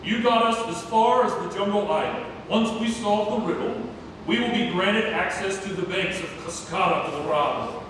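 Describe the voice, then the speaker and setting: Man playing a high-pitched pompous rival explorer, echoey hall. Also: moderate pace, a bit bright. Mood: smug. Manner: haughty, theatrical. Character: pompous, greedy rival explorer and treasure hunter. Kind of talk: stage play table read. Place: theatre room, recorded from far off. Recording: distant echoey hall with faint noise.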